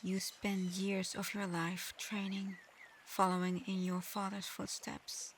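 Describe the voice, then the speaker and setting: Soft, unhurried dragon girl acted by a woman. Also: medium pitch, completely flat delivery, slight background noise. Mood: gentle, regretful. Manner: soft, breathy, often near-whispered, halting. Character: remorseful, gentle shapeshifting female dragon in humanoid form. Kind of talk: ASMR roleplay.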